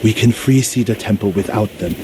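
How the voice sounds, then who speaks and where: An actor playing a loyal, resolute warrior monk in a game cutscene, speaking earnestly, deliberately and with respect. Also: male voice, on the low side, noisy background, close to an everyday voice. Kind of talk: dramatic game cutscene acting. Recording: loud music over the voice.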